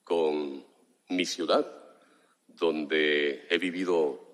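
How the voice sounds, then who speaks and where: A man's voice: rumbling low, fairly even intonation. A man in his fifties from southern Mexico, a municipal commissioner giving a formal speech at a press conference. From Juchitán, Oaxaca, Mexico. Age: 50s. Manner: slow, measured, formal address with long pauses. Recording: hall reverb, relayed press conference.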